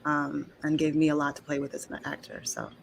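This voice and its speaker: Low-pitched woman interviewer, noisy room. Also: huge pitch swings, a little fast, vocal fry. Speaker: actor interviewing a playwright. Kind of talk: casual video conversation.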